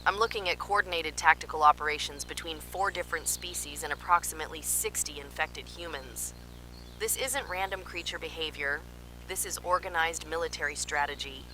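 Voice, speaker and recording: A woman's voice: low-pitched, sharp tone, slight background noise, low-quality recording. A woman playing an alarmed park scientist, giving urgent, clinical field reports in an analog horror drama. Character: alarmed female park scientist transmitting desperate emergency warnings.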